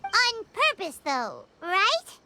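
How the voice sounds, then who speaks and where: A woman voicing a tiny, earnest, curious childlike fairy companion in a video game, with a squeaky, emphatic delivery. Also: wildly swooping pitch, drawn-out words, heavy background noise, exaggerated voice. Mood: disapproving. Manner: speaks of self in third person, emphatic. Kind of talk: video game character acting.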